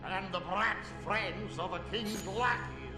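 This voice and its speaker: A man's voice: high-pitched, slow. A man voicing a surly, blustering pirate villain in a video game, sneering and theatrical. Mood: scornful. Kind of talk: video game cutscene acting. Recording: echoey, loud music behind.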